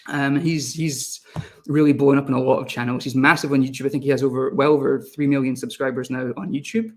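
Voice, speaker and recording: A young adult man with a Scottish accent, a video team lead talking quickly as he presents a live online webinar and speaks to viewers in the chat. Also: mid-range voice, flat delivery.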